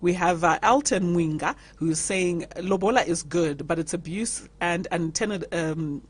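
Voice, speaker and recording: A woman's voice: lowish voice, normal speed, husky, no echo. A woman hosting a radio panel discussion, reading out listener messages and laughing often. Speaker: radio talk show host.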